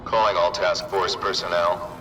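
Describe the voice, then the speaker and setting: An actor playing a stern task force commander in a video game, giving orders in a clipped, official, authoritative manner. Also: male voice, sits low, little pitch movement, moderate pace, heavy background noise, natural voice. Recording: distant voice under loud music.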